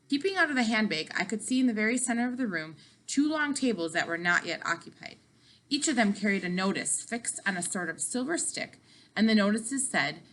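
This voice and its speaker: A woman narrating a children's book aloud with animated, dramatic emphasis. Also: mid-range voice, poor audio. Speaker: read-aloud storyteller.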